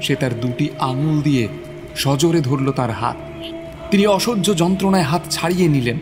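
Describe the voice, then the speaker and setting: A man's voice: fairly high pitch, normal speed. Theatrical male narrator over prominent music. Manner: theatrical, suspenseful. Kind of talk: dramatic scripted narration of a horror story.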